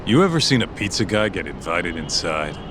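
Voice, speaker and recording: Sarcastic sidekick with lively swoops. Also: male voice, deep voice, fairly quick, background noise. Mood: amused, sarcastic. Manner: slangy, bantering, skeptical. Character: sarcastic, cautious male sidekick, streetwise and casual. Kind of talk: English game dub acting.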